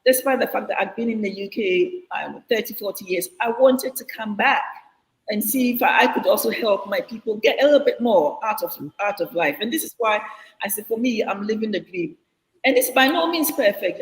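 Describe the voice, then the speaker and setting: A woman's voice: medium pitch, long reverb tail, poor audio. An older woman from Ghana, talking earnestly and reflectively as an interview guest over a video call, often restarting her sentences. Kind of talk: casual interview answers.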